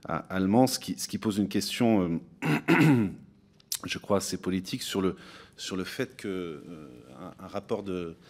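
Rapporteur, man in his 30s, low voice, halting questions, desk mic in a committee room. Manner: halting, many restarts and hesitations. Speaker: parliamentary rapporteur questioning witnesses. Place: large parliamentary committee room. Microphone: desk gooseneck microphone.

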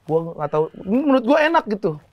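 A man chatting at a restaurant table, asking quick teasing questions and laughing easily. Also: high-pitched, big pitch swings, muffled recording. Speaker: guest comedian. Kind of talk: casual chat. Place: indoor restaurant set.

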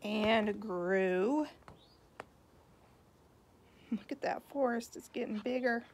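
A woman reading a picture book aloud to young children in an expressive, unhurried way, pausing to point out the pictures. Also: mid-range voice, a little hiss or hum. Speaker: storybook reader, teacher.

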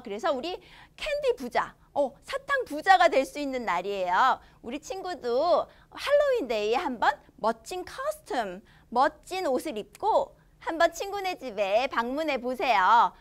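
A young woman teaching a children's language lesson, expressive and enunciating slowly for young learners. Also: sits high. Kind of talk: children's language lesson, switching between Korean and English.

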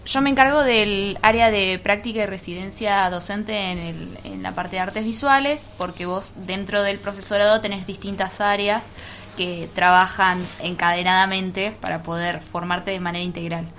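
A woman's voice: medium pitch, fairly even intonation, normal speed, fairly bright tone, a little hiss or hum. A woman with an Argentine accent giving an informal, explanatory account in a radio interview. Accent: Argentine Spanish. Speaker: guest, teaching practice coordinator.

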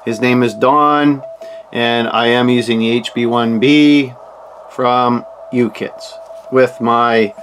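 A man with a mid-pitched voice talks casually through a radio demonstration. He sounds like a hobby operator explaining things as he goes. There is noticeable noise behind his voice. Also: steady tone, slow, a bit bright. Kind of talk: casual radio demo commentary. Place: indoors at a desk. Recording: clear background noise.

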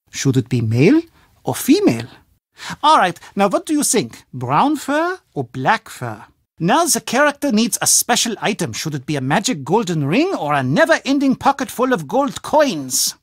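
A man's voice: high-pitched, breathy edge, dry recording, exaggerated voice. Game role: eccentric older German man, anxious and gloomy, swooping intonation, dry close sound. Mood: eager, fussy. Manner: fretful, melodramatic, halting formal phrasing. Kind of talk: video game character acting.

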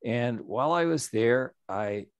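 A middle-aged man, a nonprofit executive director, giving a reflective, measured story-led presentation over a video call. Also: medium pitch, lively intonation, clear, resonant tone, no echo. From United States.

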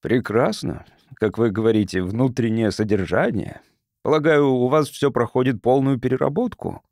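A man's voice: mid-range voice, normal speed, darkish tone, dry recording, studio quality, natural voice. A man voicing a courteous, curious visiting sociologist in an audiobook, polite and inquisitive. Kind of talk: audiobook character reading.

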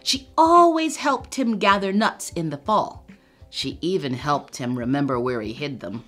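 Middle-aged woman, storytime reader, lively and unhurried, low-ish voice, webcam in a book-lined room. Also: faint music behind. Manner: animated, expressive storytelling. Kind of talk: children's read-aloud. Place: home room with bookshelves.

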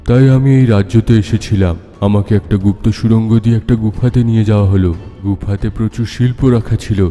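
An actor playing the ghost of a grieving middle-aged sculptor father, telling his tale in a measured, sorrowful way. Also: on the low side, mellow, close to an everyday voice. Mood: solemn recounting. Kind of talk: dramatic Bengali horror-tale narration. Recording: long reverb, music behind.

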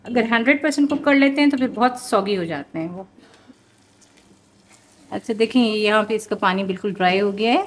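A woman's voice: medium pitch, fairly quick, long pauses. A woman, hosting a home cooking show and explaining each step in a chatty way with many fillers. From Pakistan.

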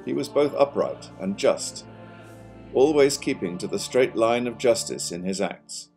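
A man giving a solemn, formal reading of an old historical account in a measured, declamatory way. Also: fairly low pitch, animated, darkish tone, soft background music. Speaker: college provost giving a reading.

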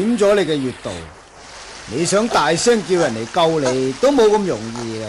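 An actor playing a lecherous, gloating bandit villain in a radio drama, taunting with a chuckling manner. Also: male voice, fairly high pitch, very animated, moderate pace, big-room sound, slight background noise, poor audio. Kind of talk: Cantonese radio drama acting.

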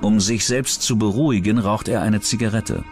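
A man's voice: lowish voice, normal speed, darkish tone. A man reading scripted true-crime documentary narration as the narrator. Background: background music.

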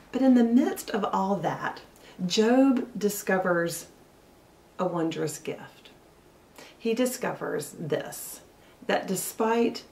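Slow, earnest woman pastor preaching from home. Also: medium pitch, a little mellow. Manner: earnest storytelling, slows down for emphasis. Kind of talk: sermon. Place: living room at home, indoors. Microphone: camera a little way off, seated facing it. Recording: roomy sound from a distance.

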